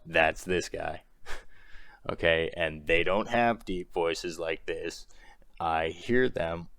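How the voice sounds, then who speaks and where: A young man with a low, strained voice, rambling through an exasperated commentary rant and laughing between points. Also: slow pace, gravelly, a bit bright, no echo, clean studio sound. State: strained throat. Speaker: commentary creator. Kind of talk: ranting commentary.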